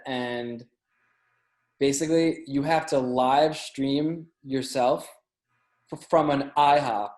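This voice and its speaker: A man chatting casually as a podcast guest, telling anecdotes in a halting way, often repeating and stumbling over words. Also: on the high side, a little mellow. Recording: roomy, echoey sound.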